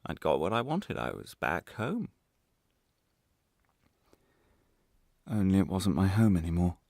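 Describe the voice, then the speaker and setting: An anguished, guilt-ridden male former prisoner, played by a man, recounts his story in a radio play. The delivery is intense and brooding, in broken phrases with wide swings of pitch, very close and dry on the mic. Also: big pitch swings, fairly quick, vocal fry, a little mellow, no echo, full character voice. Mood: unsettled. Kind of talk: radio drama monologue.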